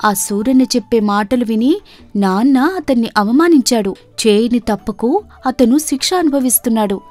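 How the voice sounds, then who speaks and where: A woman voicing a tender-hearted young village daughter in an animated moral story, earnest and pleading. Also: on the high side, normal speed, lightly acted.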